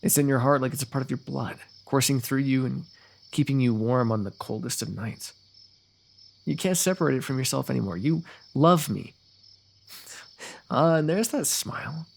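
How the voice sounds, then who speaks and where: A man playing a lovestruck, eloquent fantasy royal in a romantic ASMR roleplay, talking intimately and poetically with gentle teasing. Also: mid-range voice, moderate pace, vocal fry, long pauses. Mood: tender, earnest. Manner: intimate, poetic, talkative, softly amused.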